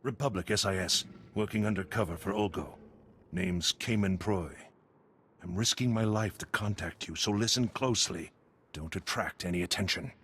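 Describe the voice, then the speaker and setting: An actor playing an urgent, secretive undercover agent in a video game, giving precise briefings in a gravelly voice. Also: male voice, deep voice, moderate pace, a bit bright, a little hiss or hum. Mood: urgent, guarded. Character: male Republic intelligence agent undercover, urgent, secretive, earnest.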